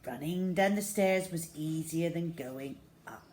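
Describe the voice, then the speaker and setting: A woman reading a children's story aloud as an expressive storyteller. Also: low voice, a little slow.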